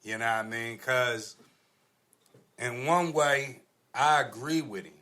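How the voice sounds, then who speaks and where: A man's voice: mid-range voice, slow, pushed and bright. A man hosting a casual panel discussion, talking in slangy, argumentative phrases that he repeats for emphasis. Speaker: podcast host.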